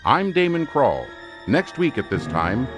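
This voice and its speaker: A man's voice: mid-range voice, very animated, a little slow. A man giving a scripted radio drama sign-off as announcer, in old-time suspense show style. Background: music.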